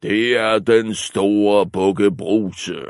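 A man voicing the big billy goat with a coarse voice, slow and threatening. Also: lowish voice, fairly even intonation, no echo, clean studio sound, close to an everyday voice. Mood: defiant.

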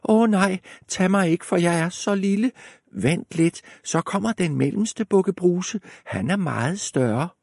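A man voicing the smallest billy goat with a thin, squeaky voice. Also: lively intonation, creaky, a little mellow, dry recording, studio quality, heavily acted. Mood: pleading. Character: tiny timid young billy goat.

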